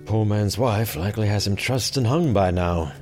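An actor playing an uneasy medieval sheriff in an audio drama, hesitant, dry and understated. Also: male voice, lowish voice, moderate pace, a little mellow, soft background music, lightly acted.

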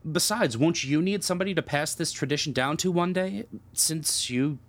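A man's voice: on the high side, normal speed, a bit bright. A young man acting in an audio drama as a patient, mildly baffled priest, with an earnest, conciliatory and hesitant manner. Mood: apologetic, gentle. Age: young adult. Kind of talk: naturalistic audio drama dialogue.

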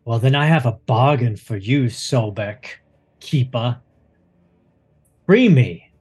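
A man voicing a female fire spirit bound to an altar, speaking slowly and grandly as she bargains. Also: medium pitch, a little mellow, breathy edge, pitched below the performer's own voice. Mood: bargaining.

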